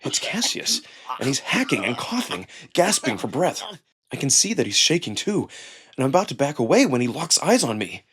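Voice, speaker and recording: A man reading a visual novel in the first person as a theatrical, expressive narrator. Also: medium pitch, moderate pace, husky. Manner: theatrical, expressive, acts out emotion. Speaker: narrator and performer. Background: music.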